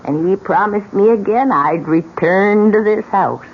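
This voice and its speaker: An actress playing an old widow in a radio drama, grave, insistent and full of dramatic warnings. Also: female voice, medium pitch, close to an everyday voice. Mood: ominous warning. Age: older. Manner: grave, dramatic, slow pronouncements. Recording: dull, noisy old radio recording.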